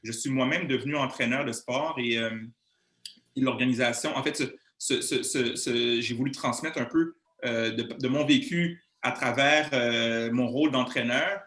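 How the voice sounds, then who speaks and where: A man in his thirties with a Québécois accent speaks at a middle pitch, taking his time. He stumbles over words and restarts his sentences often. His voice carries a noticeable room echo. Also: moderate pace, fairly bright tone. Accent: Québécois French. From Montreal, Canada. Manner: hesitant, many fillers and restarts. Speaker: guest speaker. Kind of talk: conversational interview answer.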